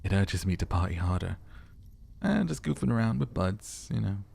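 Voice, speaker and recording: Troubled game detective, acted by a male player: earnest, sheepish goofball with creak in the voice. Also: low voice, big pitch swings, normal speed, darkish tone, exaggerated voice. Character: troubled, goofy male detective confiding about his necktie.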